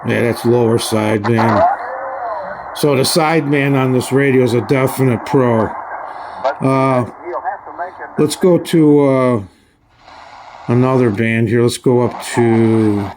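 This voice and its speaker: A man giving a casual, hands-on review in a halting, folksy way, often restarting his phrases. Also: mid-range voice, heavy background noise. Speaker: radio reviewer. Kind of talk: casual hands-on product demonstration.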